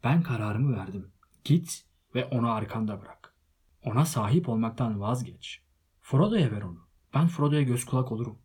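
A man voicing an old male wizard who speaks in a firm, measured, admonishing way. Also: mid-range voice, expressive, a little mellow, husky. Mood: earnest.

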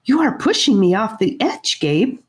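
A woman's voice: a little high, big pitch swings, normal speed, voice pushed up. A woman reading as an anxious young girl. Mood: anxious whisper. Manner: urgent whispering.

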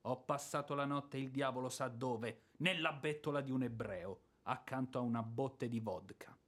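An actor playing a blustering, hot-tempered landowner and former officer in a radio drama, emphatic, sarcastic and grumbling. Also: male voice, mid-range voice, moderate pace, fairly bright tone, close to an everyday voice. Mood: exasperated. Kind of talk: theatrical radio drama acting.

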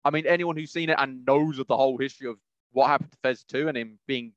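A man's voice: mid-range voice, fairly even intonation, fast, no echo, muffled recording. A man hosting a podcast, chatting casually and opinionatedly in a rambling, emphatic way, often repeating phrases. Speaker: podcast host. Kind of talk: casual opinionated chat about films.

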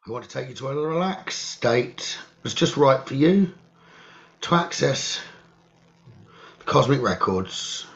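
Man guiding a meditation, slightly muffled recording. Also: mid-range voice. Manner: measured, hushed delivery in short phrases with pauses. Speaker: meditation teacher leading a guided meditation. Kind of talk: slow guided meditation, soothing instruction.

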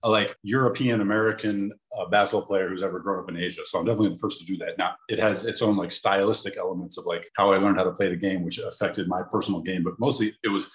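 A man in his thirties speaks quickly in a lowish voice. A former pro basketball player, he tells his story with emphatic confidence. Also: fairly even intonation, low-quality recording. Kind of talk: casual interview.

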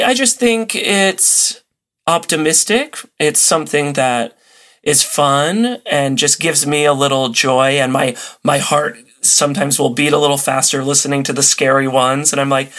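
A man's voice: on the high side, fairly bright tone. A man chatting casually and enthusiastically as a podcast guest in an interview.

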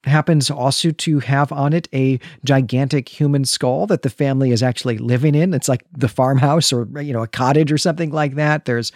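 A man's voice: mid-range voice, a little fast, hardly any pauses, darkish tone, no room sound, clean studio sound. A man co-hosting a podcast, chatting casually in an enthusiastic, conversational way. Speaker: podcast co-host.

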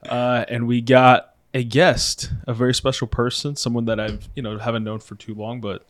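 Podcast host, male, from Oregon, easygoing and jokey, average-pitched voice. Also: flat delivery, normal speed. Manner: joking, loose, filler-heavy talk. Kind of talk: casual podcast chat.

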